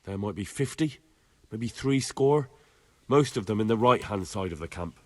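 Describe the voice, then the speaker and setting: A man's voice: lowish voice, expressive, moderate pace. A man playing a dutiful, grim young medieval soldier in a video game cutscene. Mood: factual, reporting.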